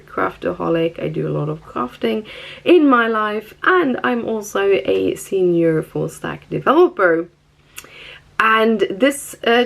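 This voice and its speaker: A woman's voice: mid-range voice, creaky. A woman with a Swedish accent, talking casually and chattily to viewers as a vlogger. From Stockholm, Sweden. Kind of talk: casual personal vlog talk.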